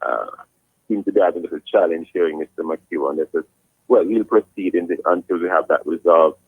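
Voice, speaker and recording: A man hosts a morning radio interview in a low, husky voice, polite and formal, often restarting his sentences. The sound is thin and phone-like, and very dry. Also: brisk, dry recording. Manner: polite, formal, addresses guest as sir, many restarts. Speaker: radio morning show host. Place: radio studio.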